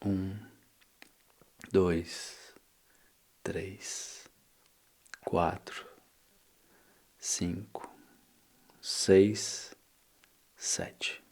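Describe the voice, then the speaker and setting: A low-voiced Brazilian man guides a meditation in an ASMR style. He speaks very slowly and calmly, leaving long pauses, with an even, barely varying melody. His voice trails into a long reverb. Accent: Brazilian Portuguese. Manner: calm, instructing, with long pauses. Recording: long reverberant hall-like echo.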